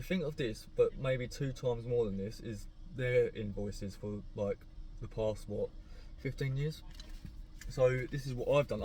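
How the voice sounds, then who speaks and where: A man's voice: mid-range voice, a little mellow, a little hiss or hum. A young man talking casually to camera from his parked car, rambling and laid-back, with a sore throat that makes his voice crack. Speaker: car vlogger.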